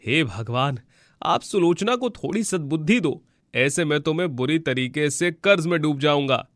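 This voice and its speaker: A man plays a good-hearted, henpecked villager from a moral tale. His voice sits a little high and sounds fretful and unsure. The recording is dry. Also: animated, moderate pace, fairly bright tone, no room sound. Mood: worried. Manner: fretful, bewildered. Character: worried, good-hearted male village farmer, henpecked husband. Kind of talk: children's moral story cartoon.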